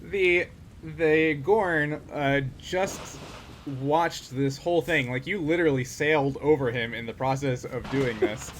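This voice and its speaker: A man casually narrating a tabletop role-playing game as game master, improvising haltingly as he goes. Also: on the high side, a little hiss or hum.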